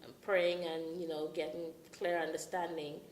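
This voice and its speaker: A woman from the Bahamas talks as an interview guest, measured and full of small pauses. Her voice is lowish and flat in tone. The room is echoey with a faint noise behind. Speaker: guest, dance ministry leader. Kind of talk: casual interview.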